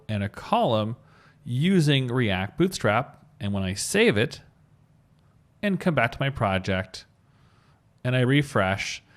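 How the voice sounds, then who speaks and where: Man, mid-range pitch, highly varied intonation, informal tutorial delivery, thinking out loud. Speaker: web coding instructor. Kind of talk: screencast tutorial, talking through steps.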